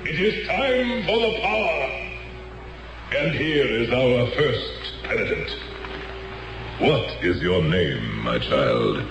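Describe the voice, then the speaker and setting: A man's voice: medium pitch, big pitch swings, takes it slowly, vocal fry, background noise, exaggerated voice. An actor in a 1970s radio drama playing a charismatic, solemn faith healer who makes grandiose pronouncements to his followers. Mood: solemn, exalted. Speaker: cult preacher. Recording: echoey hall, music behind.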